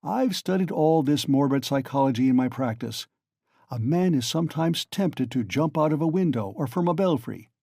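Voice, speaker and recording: A man's voice: a little high, animated, moderate pace, mellow, dry recording, studio quality. A man voicing a sly, genial middle-aged male magistrate who questions in a wheedling, insinuating way.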